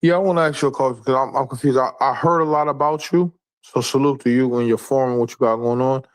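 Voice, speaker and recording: Defensive New York caller, late forties. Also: male voice, medium pitch, steady tone, fast, no echo. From Queens, New York. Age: 40s. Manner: defensive, repeats himself. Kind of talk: live call-in debate.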